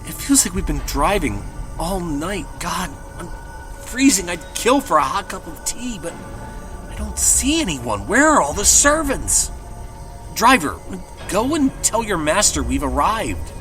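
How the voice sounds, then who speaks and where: A man's voice: high voice, big pitch swings, unhurried, music in the background. An actor playing a nervous, polite male traveller in an audio drama, hesitant and anxious. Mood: anxious, exasperated.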